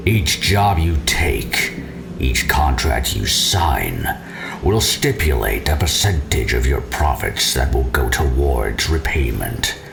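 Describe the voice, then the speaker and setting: An actor playing a menacing alien overlord in an audio drama, speaking in cold, deliberate pronouncements with a gravelly, breathy edge. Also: male voice, very deep voice, heavily acted. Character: menacing alien overlord addressing captive conscripts. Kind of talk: scripted audio drama acting.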